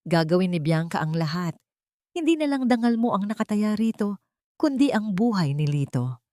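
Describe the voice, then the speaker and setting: A woman's voice: medium pitch, big pitch swings, normal speed, warm tone, dry recording, natural voice. Woman narrator as a serious, worried male protector. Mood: grave, worried. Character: serious, worried man, loyal protector in a drama.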